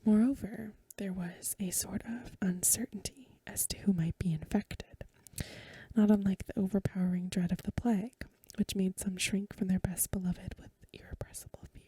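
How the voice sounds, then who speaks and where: A woman reads a story in a gentle ASMR whisper. Her voice is very soft, dark and extremely breathy. She takes her time, sounding hushed and close to the mic in a dry space. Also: mid-range voice, fairly even intonation, moderate pace, no echo. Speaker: ASMR reader and host.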